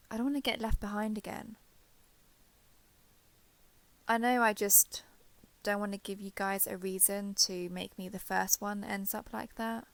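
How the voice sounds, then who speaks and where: Shy, guarded villainess, acted by a woman, crisp and close. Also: medium pitch, a bit bright, dry recording. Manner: hesitant, halting, reserved, understated. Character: shy, guarded young female villain turned reluctant ally. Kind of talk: roleplay audio drama, intimate one-sided dialogue.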